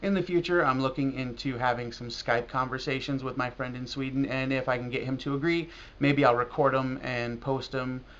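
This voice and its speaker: An American man talking casually and reflectively in a video blog as a language learner, halting now and then with pauses. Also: medium pitch, fairly even intonation, fairly bright tone. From United States. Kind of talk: casual talk to camera about learning. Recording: dull lo-fi audio with clear noise.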